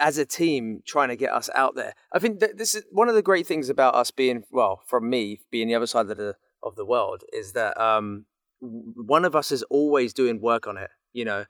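A man's voice: medium pitch, normal speed, a bit bright, no room sound, clean studio sound. A man talking casually as a voice actor guest in an interview.

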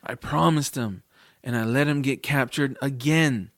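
A man's voice: medium pitch, measured pace, a bit bright, dry recording, studio quality. A man playing an earnest, self-doubting boy hero who narrates a fantasy audio drama in the first person. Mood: distressed. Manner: earnest, youthful phrasing.

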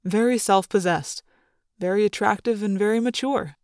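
A woman voicing a middle-aged male school official who speaks in an earnest, measured, patronizing way, as in an audiobook. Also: medium pitch, darkish tone, no echo, studio quality, lightly acted. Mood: earnest, flattering.

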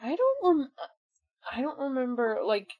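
A woman's voice: sits high, big pitch swings, a little slow, a little mellow. A woman in her twenties co-hosting a podcast in casual chat, with a blunt, sweary way of talking. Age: 20s. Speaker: podcast co-host.

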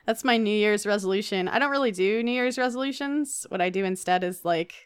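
A woman's voice: mid-range voice, fairly quick, clear, resonant tone, dry recording, studio quality. A woman co-hosting a podcast in casual, informal, conversational talk. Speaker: podcast co-host.

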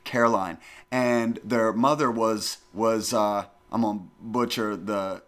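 A middle-aged man from the northern United States in casual conversation, telling stories in an animated, emphatic way and repeating phrases for effect. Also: fairly low pitch, slow pace.

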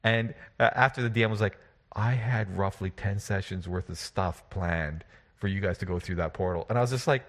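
A deep-voiced man who runs tabletop games, chatting loosely with friends. He tells stories with animated energy, repeats himself a lot and swears casually. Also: fairly quick. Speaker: game master and podcast host. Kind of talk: casual chat.

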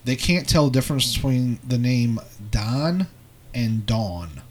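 A man from New Orleans co-hosting a podcast with crude, deadpan, casual banter. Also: medium pitch, unhurried. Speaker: podcast co-host.

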